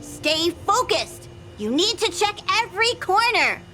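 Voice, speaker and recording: A tiny game creature, bossy and excitable, with an extremely high, swooping voice. It plays a fussy little train conductor, with music behind it. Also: female voice, measured pace, heavily acted. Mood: focused, bossy. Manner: bossy, refers to self by name. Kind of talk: video game voice acting.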